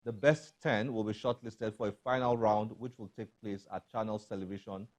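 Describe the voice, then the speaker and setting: A man with a Nigerian accent presenting a scripted TV show to viewers, with deliberate emphasis on key phrases. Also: lowish voice, fairly even intonation, darkish tone. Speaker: TV book show host.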